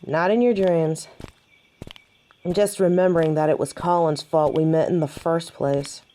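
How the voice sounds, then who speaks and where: A woman's voice: low voice, steady tone, normal speed, mellow, close to an everyday voice. A woman playing a young, stubborn, hot-headed female werewolf in an audio roleplay drama. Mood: gruff, teasing.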